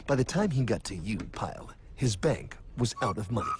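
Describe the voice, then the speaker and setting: A man voicing a cocky, mysterious time-traveling guide in a cartoon, explaining things in a deadpan, pompous, gravelly voice over music. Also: mid-range voice, normal speed, noisy background, natural voice. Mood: knowing, lecturing. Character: cocky, mysterious time-traveling know-it-all narrator pal. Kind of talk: mock-dramatic cartoon exposition. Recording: music under the dialogue.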